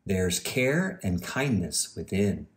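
A man reading a children's storybook aloud with measured, deliberate phrasing. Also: deep voice, sing-song. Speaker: university president, guest reader.

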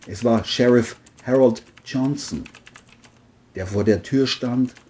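A woman's voice: a bass voice, slow, warm tone. A woman narrating a scripted crime story.

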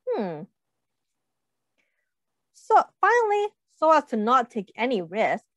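Slow, lively woman reading to kids. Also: on the high side, no echo. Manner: animated, asks children questions, does little character voices. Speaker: teacher reading aloud. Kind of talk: children's picture-book read-aloud.

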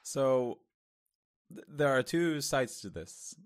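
A man with an Israeli accent, a Hebrew native speaker, talking candidly on a podcast, thinking aloud with many pauses and fillers. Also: mid-range voice, expressive, clear, resonant tone. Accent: Israeli, lighter than most. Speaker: podcast co-host. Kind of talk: casual conversation.

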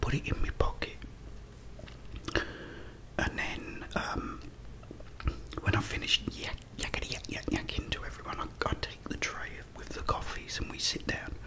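A man's voice: moderate pace, pauses often, bright, forceful tone, low-quality recording. A middle-aged man with a British accent, whispering a rambling, chatty story as an ASMR creator in a dim room, close to the mic. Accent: British English. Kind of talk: whispered ASMR chat while eating. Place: dim indoor room at a table.

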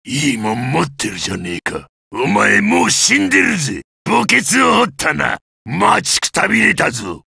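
Brutal giant monster warrior, video game battle lines, raspy roar, wildly swinging pitch, bullying, menacing. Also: male voice, wildly swooping pitch, normal speed, sharp tone, no room sound, studio quality, heavily acted. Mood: menacing taunting. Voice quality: gravelly, rough roar. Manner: coarse, taunting, menacing.

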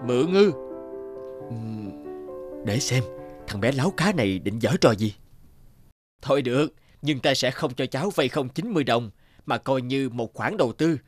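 A man's voice: mid-range voice, expressive, normal speed, pauses often, natural voice. An actor playing a big, sceptical cartoon businessman who bargains in a condescending manner. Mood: shrewd bargaining. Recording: music behind the voice.

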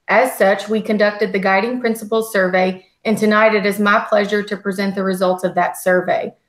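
A woman presenting a school district budget report on a video call in a steady, formal, scripted way. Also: mid-range voice. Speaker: school district finance administrator. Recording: echoey video call audio.

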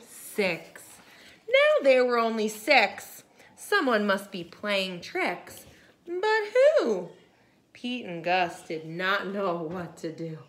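Female storyteller for young children, slow and high, big expressive delivery. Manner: expressive, exaggerated gasps and hushed asides. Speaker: teacher reading aloud to children. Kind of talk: animated children's picture-book read-aloud. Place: home kitchen.

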